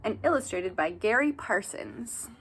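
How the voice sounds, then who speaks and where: A woman reading a children's picture book aloud like a teacher, animated, with asides and questions to young listeners. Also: medium pitch, a little slow, slight background noise.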